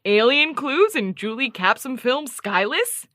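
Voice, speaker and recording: A prim, sarcastic radio journalist, very animated. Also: female voice, sits high, a little slow, dry recording, clean studio sound, heavily acted. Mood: mocking. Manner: sarcastic, formal, precise. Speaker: podcast host and radio journalist. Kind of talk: mock investigative podcast, comedic audio drama.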